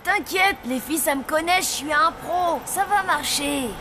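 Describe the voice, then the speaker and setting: High-pitched, slangy zombie boy. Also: moderate pace, bright, forceful tone, a little hiss or hum. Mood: cocky. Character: zombie boy, lovestruck, boastful, awkward young son. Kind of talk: French cartoon dubbing.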